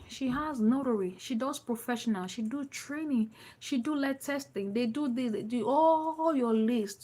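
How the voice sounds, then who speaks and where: Nigerian-accented woman, emphatic business coach. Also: a little high. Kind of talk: informal live online coaching, answering viewer questions.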